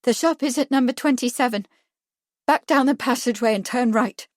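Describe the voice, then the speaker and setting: A woman's voice: fairly high pitch, brisk, no room sound, clean studio sound, voice pushed up, natural voice. A woman voicing a defiant, world-weary young English woman in a story, snappish and sullen.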